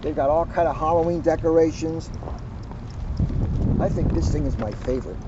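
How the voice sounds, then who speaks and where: A man with a notably high voice reads and talks to children in an expressive, deliberate storyteller's way, stretching the ends of phrases. He is recorded outdoors, and the sound is dull with faint background noise. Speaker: police officer reading to children in a community video series. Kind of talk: picture-book read-aloud with informal chat. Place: outdoors at a garden center. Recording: dull, noisy outdoor audio.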